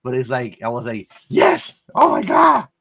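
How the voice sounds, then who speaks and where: A man chatting casually about film as a podcast panelist, speaking in an expressive, emphatic way. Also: medium pitch, measured pace, vocal fry, breathy edge.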